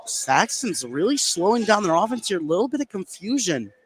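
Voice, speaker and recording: A man's voice: sits high, big pitch swings, brisk. A man working as a color commentator, explaining a live basketball game in a conversational way.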